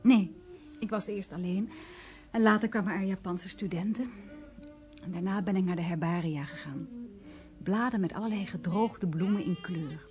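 An actress playing a tender, enraptured young woman in a radio drama, with a breathy, wondering way of speaking. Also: mid-range voice, normal speed, pauses often, a little mellow, lightly acted. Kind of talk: naturalistic radio drama dialogue. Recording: radio play with music behind.

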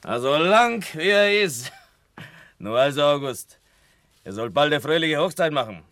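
A man acting a boisterous, mocking villager in a German radio drama, jeering and jovial in rustic speech, with a sharp, gravelly voice. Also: a little high, expressive, unhurried, dry recording, clean studio sound. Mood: mockingly jovial.

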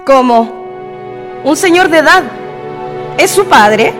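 A woman's voice: high-pitched, expressive, slow. An actress playing a polite, inquisitive young woman on a visit in a radio drama. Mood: puzzled. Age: young adult. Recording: long reverb, music behind.

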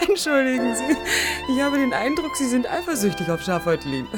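An actress playing a capricious young woman in a radio play, teasing and sarcastic, quick to challenge. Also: high voice, sing-song. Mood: challenging. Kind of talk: 1980s radio play dialogue. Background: clearly audible music.

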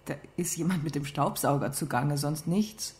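A sceptical, exasperated partner in a radio crime drama, played by a woman. She is dry and pointed, quick to turn dismissive and sarcastic. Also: low-pitched, darkish tone, lightly acted.

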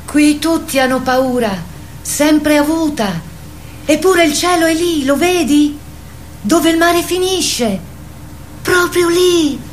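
A woman's voice: high-pitched, takes it slowly, slight background noise. A woman playing a wistful grandmother who tells fairy tales in a radio play. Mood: gentle, wistful. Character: wistful grandmother telling a fairy tale to her fearful grandchild. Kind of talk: theatrical storytelling in a radio play.

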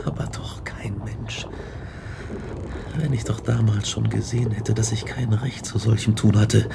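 An actor playing a tormented, guilt-ridden young scientist in a German radio drama, grave and intense. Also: male voice, fairly low pitch, level tone, unhurried, creaky, noisy background. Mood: anguished, remorseful. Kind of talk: German radio drama acting, narration and dialogue.

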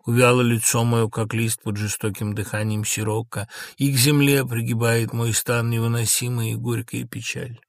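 A man reading a quiet, grieving young noblewoman. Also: mid-range voice, little pitch movement, moderate pace, creaky, hardly any pauses, no room sound, clean studio sound, natural voice. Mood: sorrowful. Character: grieving young widowed noblewoman, tender and devoted. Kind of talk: dramatic play reading.

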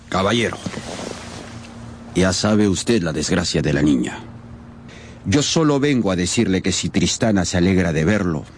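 An actor playing a proud, disdainful elderly gentleman in a radio drama, courtly and deliberate in manner. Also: deep voice, slight background noise. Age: older. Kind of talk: Spanish-language radio drama acting. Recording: music behind the voice.

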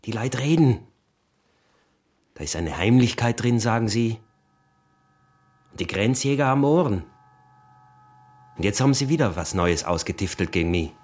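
An actor with an Austrian accent playing a cunning, insistent peasant smuggler and husband in a radio drama. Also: male voice, lowish voice, expressive, moderate pace, long pauses, a little mellow. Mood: agitated, suspicious. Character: sly scheming peasant smuggler, husband, early 1900s. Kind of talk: radio drama acting in dialect.

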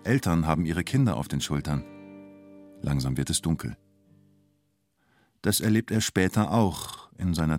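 An actor playing a reflective narrator of a police siege, speaking in terse, understated observations for a radio drama. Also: male voice, rumbling low, darkish tone, no room sound, clean studio sound. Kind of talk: literary radio drama narration in present tense.

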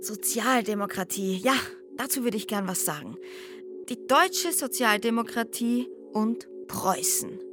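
An actress playing a keen expert in a radio drama, lecturing in emphatic, clipped phrases. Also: female voice, a little high, expressive, a little slow. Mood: keen explaining. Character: keen woman expert explaining political history. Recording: clear music behind the voice.

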